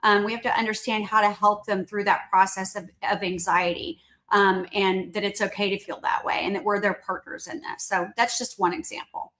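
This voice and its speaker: A woman guest speaking as a company chief in a conversational interview, earnest and explanatory, often checking in with a quick tag question. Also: mid-range voice, level tone, pushed and bright. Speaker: company CEO, podcast guest.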